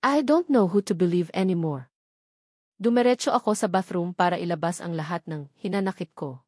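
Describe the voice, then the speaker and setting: A woman's voice: lowish voice, a little fast, a little mellow, no room sound, clean studio sound. A woman with a Filipino accent reading a first-person romance novel as scripted audiobook narration.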